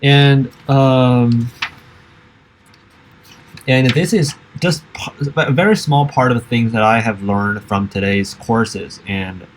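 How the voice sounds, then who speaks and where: A man's voice: medium pitch, slow pace, slight background noise, low-quality recording. A man whose first language is Chinese, giving a reflective spoken summary with frequent fillers and restarts. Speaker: summer institute participant.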